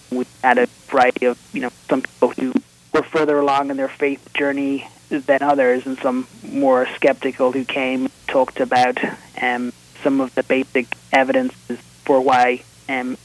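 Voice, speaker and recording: A man with an Irish accent softened toward American, calling in by phone as an author and lawyer, explaining in a measured, hesitant way. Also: mid-range voice, poor audio. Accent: Irish, partly Americanized. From Republic of Ireland. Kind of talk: call-in radio interview. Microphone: telephone line.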